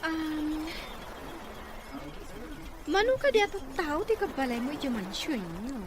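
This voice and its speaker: A woman's voice: high voice, sing-song, measured pace, crowd noise. A woman acting a rich man's scheming young girlfriend in a radio drama, with a Filipino accent. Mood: sweet, coaxing. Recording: noisy radio drama with ambient sound.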